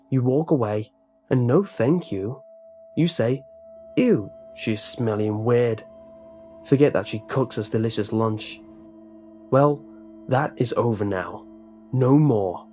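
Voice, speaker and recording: A man's voice: medium pitch, animated, normal speed, warm tone, soft background music, natural voice. A man voicing a bitter, menacing female school lunch lady who speaks in clipped, broken English with a put-on accent. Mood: resentful. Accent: put-on foreign accent. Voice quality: clipped, resentful, broken grammar.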